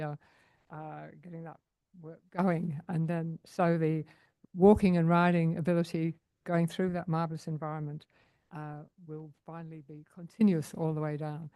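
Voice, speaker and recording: A woman's voice: deep voice, level tone, mellow, no echo. A woman, president of a community group, speaking earnestly at a council meeting's public question time. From Australia. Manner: earnest, unhurried, with many fillers.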